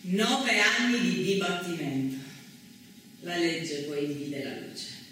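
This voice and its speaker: An actress playing a stage storyteller, delivering theatrical monologues about women's lives. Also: female voice, lowish voice, steady tone, slow pace. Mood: narrating. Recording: distant, very echoey, noisy stage recording.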